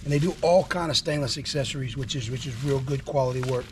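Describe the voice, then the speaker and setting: Hoarse man, casual and eager show-and-tell, noisy outdoor take. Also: medium pitch, fast talker. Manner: enthusiastic, repetitive, folksy. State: hoarse from illness. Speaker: trucking vlogger. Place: outdoors at a house.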